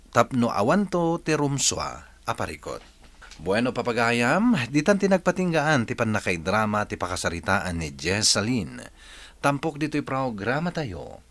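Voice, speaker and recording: Animated Ilocano male radio host. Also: mid-range voice, no room sound. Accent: Filipino, Ilocano. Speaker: radio drama host and advice giver. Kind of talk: scripted radio advice and narration.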